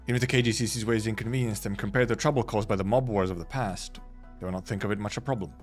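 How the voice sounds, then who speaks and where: A man voicing a composed female city leader from a game story, in his own low voice. The reading is calm and persuasive, over faint music. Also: very fast, close to an everyday voice. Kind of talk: live reading of game dialogue.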